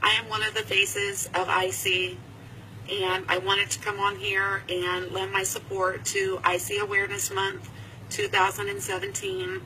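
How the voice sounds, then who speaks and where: A woman's voice: medium pitch, a little slow, sharp tone, slight background noise, rough recording. Upbeat woman from Ohio, encouraging. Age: 40s. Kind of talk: supportive video message.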